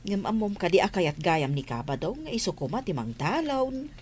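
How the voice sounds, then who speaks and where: A woman's voice: lowish voice, big pitch swings, moderate pace, a little mellow, husky. A woman playing a village farm wife in a comedy radio drama, with an Ilocano accent. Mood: chatty.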